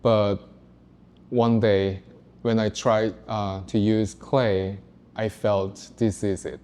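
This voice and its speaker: Man, 30s, from South Korea, native Korean, halting panel talk, low warm voice. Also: little pitch movement, smooth. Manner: halting, careful, with pauses and "uh"s. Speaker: artist.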